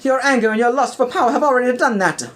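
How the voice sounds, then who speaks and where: High-pitched, stern heroic space knight. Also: male voice, fast, a bit bright. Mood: stern, reproachful. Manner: high-pitched, reproachful declaiming. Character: Mario Obi-Wan, stern heroic male Jedi master.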